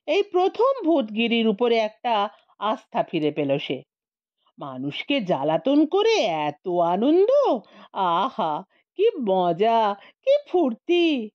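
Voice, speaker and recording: Animated, high-voiced woman storyteller. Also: lo-fi sound. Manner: theatrical, playful storytelling. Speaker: audio story narrator. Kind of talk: comic scripted narration.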